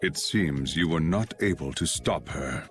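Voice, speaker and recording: A man voicing an aloof, grave swordsman in a video game, speaking slowly and solemnly with detachment. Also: very deep voice, lively intonation, soft background music. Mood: cold.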